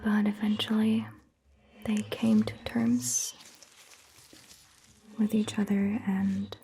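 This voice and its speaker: A woman playing a calm, attentive vampire doctor in a soft, breathy ASMR roleplay whisper, with measured, gentle phrasing. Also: mid-range voice, a little hiss or hum. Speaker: ASMR performer.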